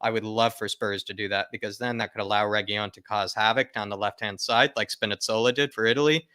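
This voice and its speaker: Bright, low-pitched man hosting fan livestream. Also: steady tone, fast, clean tone, no room sound, clean studio sound. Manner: emphatic, often repeats his phrases for stress. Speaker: host of football fan channel livestream. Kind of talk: casual live fan chat, reading viewer comments aloud.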